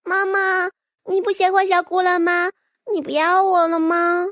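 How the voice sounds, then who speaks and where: A woman voicing a tiny childlike fairy creature in a fantasy audiobook, with a squeaky, whiny delivery. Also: flat delivery, a little slow, fairly bright tone, no room sound, clean studio sound. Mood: pleading, clingy. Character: tiny childish fairy and pleading little magical bug creature. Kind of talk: audiobook character acting.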